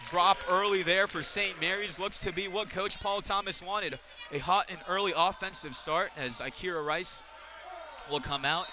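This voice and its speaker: A man doing live radio play-by-play of basketball, describing the action in clipped phrases that rise with the play. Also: sits high. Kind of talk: live sports commentary. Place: indoor basketball arena, courtside. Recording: noisy arena with court sounds behind.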